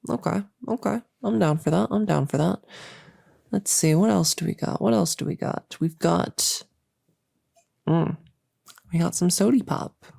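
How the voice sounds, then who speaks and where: Chatty, creaky-voiced nerdy cultist played by a woman. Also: fairly low pitch, warm tone, dry recording, studio quality, full character voice. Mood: curious, rambling. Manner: chatty, halting, teasing, coddling. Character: bossy-sweet nerdy young cultist woman, playful and doting. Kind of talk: intimate roleplay audio monologue.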